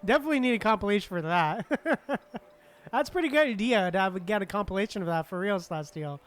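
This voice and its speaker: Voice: medium pitch, animated, fast, slight background noise. A streamer giving casual playthrough commentary and reading game dialogue aloud.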